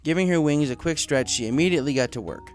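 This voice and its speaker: A man's voice: a little high, quick pace, faint music behind. A man reading a story aloud as a scripted narrator. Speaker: story reader.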